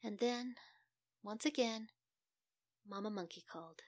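A woman's voice: medium pitch, a little slow, no room sound. Woman reading a children's story, close and dry. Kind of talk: scripted children's story narration.

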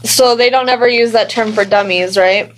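An American woman investigator talking on the phone in a measured, noncommittal way. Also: fairly high pitch, steady tone, a little hiss or hum, rough recording. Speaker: police investigator. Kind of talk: phone conversation.

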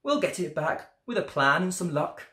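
A woman's voice: lowish voice, expressive, moderate pace, a bit bright, roomy sound. A woman voicing a caring storybook mother mole, polite and motherly in her exclamations. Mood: helpful.

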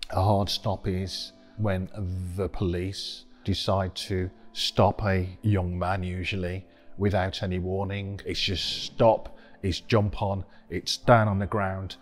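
A middle-aged man giving thoughtful, measured commentary in a documentary interview in a gallery room. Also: deep voice, level tone, faint music behind.

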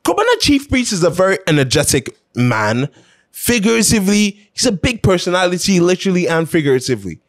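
A man's voice: high-pitched, sing-song, fairly quick. A man hosting an opinion show, talking casually and emphatically in a conversational way, repeating phrases to drive his points home.

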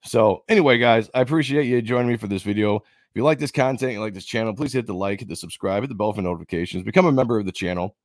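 Deep-voiced man ranting about comics. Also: very fast, no room sound, studio quality. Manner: rambling, opinionated, repeats himself. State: losing his voice, coughing. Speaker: comic book channel host. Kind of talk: casual opinion commentary.

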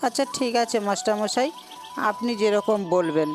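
A woman's voice: mid-range voice, animated, moderate pace, faint music behind, close to an everyday voice. A woman voicing a poor, honest young boy in a cartoon, speaking in an earnest, pleading way. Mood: earnest, gentle. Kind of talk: Bengali cartoon dubbing.

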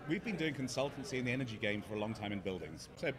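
A man's voice: mid-range voice, expressive, racing. A middle-aged man with an English accent, a startup CEO explaining his work in an interview. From England. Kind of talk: conference interview. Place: busy hotel conference hall, indoors. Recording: noisy crowd in a conference hall. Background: crowd chatter.

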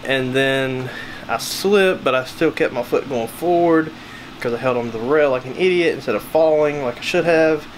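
A man's voice: mid-range voice, normal speed, a little hiss or hum, low-quality recording. Rambling young man vlogging from a cabin. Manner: rambling, self-deprecating, filler-heavy. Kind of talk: casual vlog chat. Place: small cruise cabin. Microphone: camera held at arm's length.

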